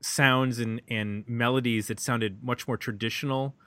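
A man with an American accent casually discussing an album as a podcast host, halting and reflective as he thinks aloud. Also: medium pitch, fairly even intonation, a bit bright, no echo. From United States.

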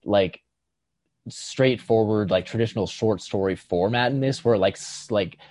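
A man co-hosting a podcast, casually discussing writing in a halting, tentative way, circling toward each point. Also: fairly low pitch, a little mellow. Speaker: podcast co-host.

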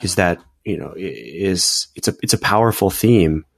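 Very deep-voiced man, earnest and measured. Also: expressive, creaky, no room sound, studio quality. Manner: earnest, measured, with many fillers. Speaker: podcast panelist. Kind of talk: reflective discussion.

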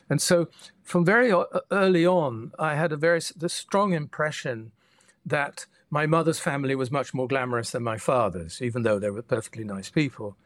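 An older man from the Netherlands, a guest historian, answering interview questions in a measured, reflective way. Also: medium pitch, fairly bright tone, no echo. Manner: measured, thoughtful, with frequent restarts.

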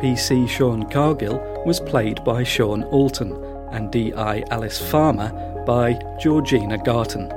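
Casting: male credits announcer, mid-pitched, scripted read with clear music underneath. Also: unhurried. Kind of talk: scripted narration.

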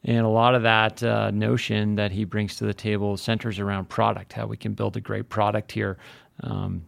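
A man's voice: fairly low pitch, level tone, normal speed, gravelly, no room sound. A man hosting a podcast, introducing his guest in an upbeat, chatty way with many fillers. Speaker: podcast host. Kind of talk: casual podcast interview.

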